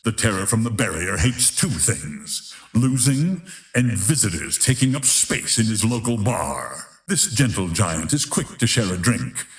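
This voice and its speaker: A man playing a grandiose, menacing tournament announcer in a video game, narrating in a theatrical, emphatic, drawn-out style. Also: on the low side, reverberant. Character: grandiose, menacing male fighting-tournament announcer of epic legend. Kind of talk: dramatic scripted fighting-game narration.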